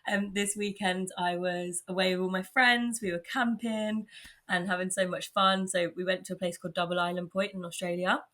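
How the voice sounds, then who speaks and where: A young woman with an English accent hosting her own podcast, chatting warmly and informally, a little husky from a sore throat. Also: lowish voice, flat delivery, moderate pace. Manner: upbeat, chatty, lots of likes and you knows. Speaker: podcast host. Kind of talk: casual solo podcast chat.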